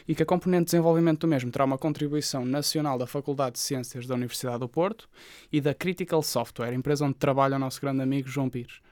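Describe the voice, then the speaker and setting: Man, european portuguese accent, mid-pitched, dry close sound, casual tech news reading. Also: dry recording. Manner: reads news items with chatty asides and laughs. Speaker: podcast co-host.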